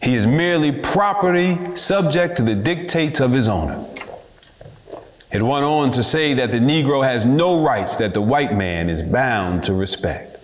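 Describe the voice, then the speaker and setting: A man giving a dramatic reading of a historical speech in a measured, deliberate oratorical manner. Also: mid-range voice, expressive, a little hiss or hum. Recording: echoey room.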